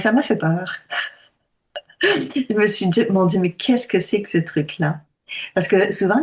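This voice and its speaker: A woman in her sixties who works as a medium, explaining calmly and deliberately in conversational talk. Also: fairly low pitch. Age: 60s.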